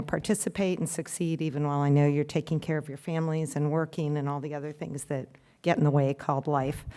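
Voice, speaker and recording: A woman who volunteers as a tutor, giving a casual, admiring introduction speech at a graduation ceremony. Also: sits low. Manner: warm praise with casual fillers. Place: event hall at a library graduation.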